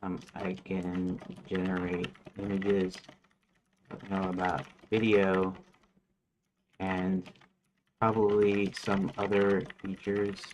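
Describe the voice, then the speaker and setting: A man chatting casually while streaming his game development, rambling with lots of fillers and restarts, sometimes reading lists aloud in a flat mutter. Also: low-pitched, slow pace, a little hiss or hum, rough recording.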